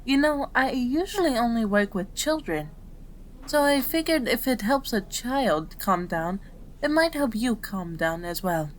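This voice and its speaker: A woman voicing a gentle, patient female kitsune doctor in an ASMR roleplay, with a soothing, polite, measured manner. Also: a little high, expressive, slight background noise. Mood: gentle, kindly.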